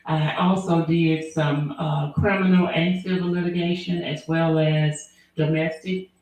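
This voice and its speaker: A woman's voice: deep voice, steady tone, darkish tone. Earnest woman in an echoey room. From Alabama, US. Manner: earnest, measured, with frequent fillers. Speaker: attorney and former prosecutor. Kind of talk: interview guest answering questions.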